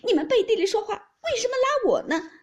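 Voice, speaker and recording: A woman's voice: extremely high pitch, very animated, brisk, voice pushed up. A woman voicing a wronged young maid in a storybook reading, protesting tearfully. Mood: aggrieved, tearful. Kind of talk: dramatised audiobook of classic novel.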